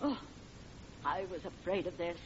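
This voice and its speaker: An actress playing a prim, severe exam supervisor in an old radio comedy, formal and schoolteacherly. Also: female voice, on the low side, animated, moderate pace, natural voice. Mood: indignant, severe. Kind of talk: 1940s radio comedy acting. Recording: muffled old radio recording, faint noise.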